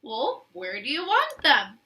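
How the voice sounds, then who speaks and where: A woman's voice: high voice, huge pitch swings, takes it slowly, bright, forceful tone, distant mic, heavily acted. A woman doing a chirpy helpful little pig. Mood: cheerful. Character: cheerful helpful female pig, small and upbeat.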